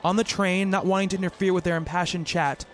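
A man playing an earnest, bookish doctor and devoted fiancé in a radio play, speaking in a measured, literary way. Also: high voice, steady tone, slight background noise, lightly acted. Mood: wistful narrating. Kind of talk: radio play narration and dialogue.

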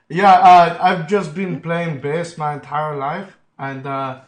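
A player voicing a burned-out Swiss male rock bassist with a put-on French-German accent, rambling with many hesitations. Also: steady tone, very slow, echoey room. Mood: spacey.

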